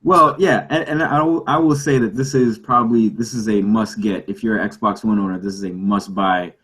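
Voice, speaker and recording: A man's voice: fairly low pitch, steady tone, moderate pace, fairly bright tone, husky. A man on a podcast panel chatting casually and emphatically, often repeating himself for stress. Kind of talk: casual chat about video games.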